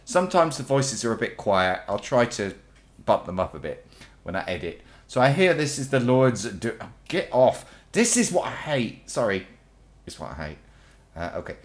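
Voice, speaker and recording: Man, croaky, gameplay host, rambling, casual commentary. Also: mid-range voice, big pitch swings, moderate pace. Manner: rambling, chatty, thinking aloud with restarts. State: croaky from illness. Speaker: let's play host.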